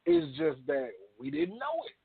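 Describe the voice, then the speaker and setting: A man's voice: extremely high pitch, huge pitch swings, moderate pace, gravelly. An American man co-hosting a casual radio debate, slangy and repeating his phrases to make a point. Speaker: radio show co-host. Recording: thin phone-like band-limited audio.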